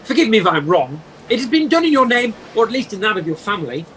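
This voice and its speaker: A woman's voice: fairly high pitch, very animated, quick pace, low-quality recording. A woman playing a troubled old male miser in an amateur radio play, earnest and full of challenging questions. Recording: faint noise on home call.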